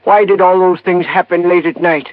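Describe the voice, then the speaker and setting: Actor as a high-pitched, folksy older American man. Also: steady tone, moderate pace, no room sound, lightly acted. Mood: suspicious. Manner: folksy, hesitant. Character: meek, folksy older husband in a wheelchair. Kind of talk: 1950s radio drama acting. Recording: thin phone-like old radio recording.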